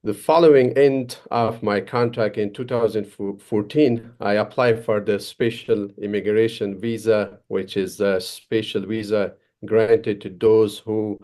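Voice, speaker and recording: A man from Afghanistan with an Afghan accent, telling his prepared personal story as a guest speaker in a formal, halting way. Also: on the low side, fairly even intonation, mellow. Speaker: case manager and former interpreter, guest speaker.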